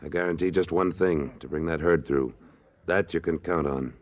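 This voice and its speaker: An actor playing a tough, terse, commanding trail boss in an old-time radio Western. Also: male voice, very deep voice, moderate pace. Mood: brisk, commanding.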